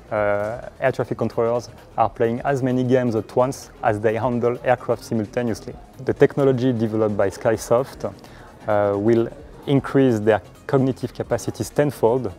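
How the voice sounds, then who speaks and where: A man in his forties giving an explanatory interview for a corporate video, in measured expert fashion. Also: medium pitch, dark tone. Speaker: air traffic control expert interviewee. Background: background music.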